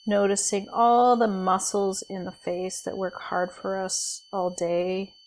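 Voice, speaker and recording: A woman leading a guided body scan meditation as a teacher, speaking in a gentle, soothing, unhurried way with pauses. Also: medium pitch, flat delivery, slight background noise, poor audio.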